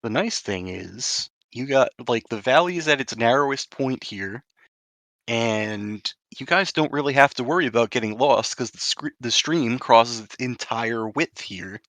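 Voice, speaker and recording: A man's voice: mid-range voice, lively intonation, measured pace, a bit bright, dry recording. A man narrating a tabletop game as game master, explaining things in a conversational way.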